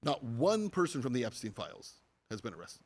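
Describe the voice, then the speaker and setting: A man's voice: mid-range voice, wildly swooping pitch, a little fast, darkish tone, slight background noise. A middle-aged man hosting a podcast, making a journalist's case with emphasis and rhetorical questions. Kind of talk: conversational interview commentary.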